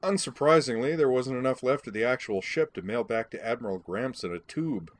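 Man acting boisterous drunken woman pilot, raised pitch, loud, theatrical, slangy, storybook character. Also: fairly quick, lightly acted. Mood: brash mocking. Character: drunken, brash, boisterous young woman pilot, prone to mockery.

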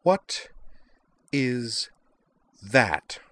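A man's voice: sits low, very animated, moderate pace, fairly bright tone, dry recording. A man voicing a haughty, hot-tempered young woman who captains a fencing club in a narrated story, imperious and indignant. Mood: cold, demanding. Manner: imperious, indignant, clipped and sputtering. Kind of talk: acted dialogue in a narrated story.

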